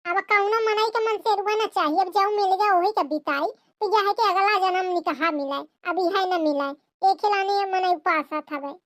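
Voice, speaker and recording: Woman acting a squeaky-high, long-suffering cartoon village wife, Bagheli accent. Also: normal speed, a bit bright, dry recording, studio quality. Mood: exasperated. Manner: complaining, rambling, dramatic. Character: exasperated, long-suffering married village sister-in-law, grumbling about her husband. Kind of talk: comic cartoon dialogue.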